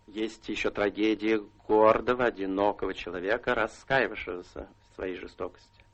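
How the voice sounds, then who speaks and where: A courteous gentleman lawyer in a radio drama. His voice is on the low side, with measured, solemn phrasing and formal deference. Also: steady tone, muffled recording. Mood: solemn persuasion. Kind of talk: Russian radio drama stage acting.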